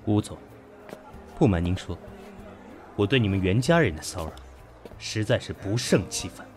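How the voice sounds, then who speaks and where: An actor playing a composed, proud businessman in his thirties in a Chinese audio drama, measured, dry and cutting. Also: on the low side, expressive, close to an everyday voice. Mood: polite but defiant. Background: background music.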